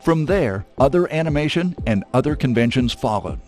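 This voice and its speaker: A man's voice: mid-range voice, animated, moderate pace. A man reading scripted documentary narration. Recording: music under narration.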